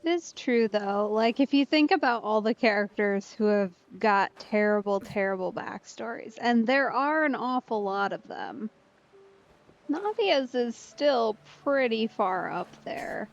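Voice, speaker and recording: A woman's voice: a little high, animated, measured pace, faint music behind. A woman giving casual let's play commentary as she streams a story game. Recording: slightly dull, band-limited stream audio.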